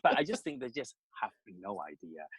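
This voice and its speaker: A man's voice: fairly low pitch, sing-song, moderate pace, poor audio. A man from Spain speaking English with a Spanish accent, chatting as a podcast guest. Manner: chatty with frequent laughter. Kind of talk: casual podcast conversation.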